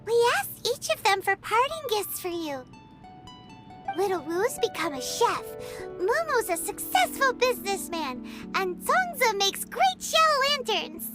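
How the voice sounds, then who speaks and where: An actress voicing a tiny floating fairy companion in a game, high and squeaky with an excitable, blunt manner. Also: female voice, measured pace, over music, heavily acted. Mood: storytelling. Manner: excitable, lively pitch swings.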